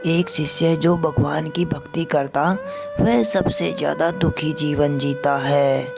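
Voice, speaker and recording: A woman voicing a wise, solemn eagle guru who preaches patiently in an animated moral story. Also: deep voice, creaky, full character voice. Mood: solemn, instructive. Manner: measured, preaching. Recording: music behind the voice.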